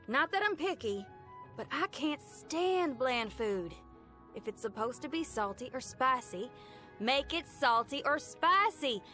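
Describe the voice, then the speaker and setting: Young rocker girl, high voice, folksy and chatty, brash but good-hearted, video game character, music behind. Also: sharp tone, heavily acted. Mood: enthusiastic. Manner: folksy, colloquial, chatty and enthusiastic. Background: background music.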